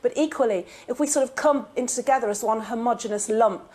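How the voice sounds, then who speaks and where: Assertive female journalist, a touch higher-pitched. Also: a little fast, darkish tone. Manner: assertive, articulate, opinionated. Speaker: journalist and broadcaster, studio guest. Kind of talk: live TV debate. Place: remote studio.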